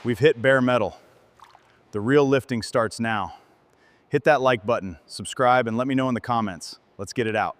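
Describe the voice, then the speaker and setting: A man's voice: mid-range voice, moderate pace. A man giving scripted narration as the narrator of a restoration video.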